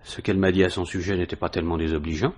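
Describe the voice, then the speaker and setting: Husky, probing police inspector. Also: male voice, low-pitched, fairly even intonation, rapid-fire. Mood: probing, dry. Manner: matter-of-fact, questioning. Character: probing, dry, methodical male police inspector. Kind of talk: 1950s French radio mystery acting.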